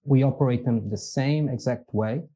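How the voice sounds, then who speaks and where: A man's voice: mid-range voice, moderate pace, mellow. A man with a Portuguese accent, speaking as a guest in a casual interview, easygoing and meandering, chuckling at himself now and then. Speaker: podcast guest, company executive.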